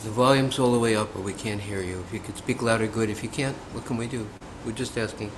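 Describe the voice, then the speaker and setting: A man attending a class from a temple room, asking plainly for the speaker to talk louder. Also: fairly low pitch, fairly even intonation, fast talker. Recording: distant voice with clear background noise.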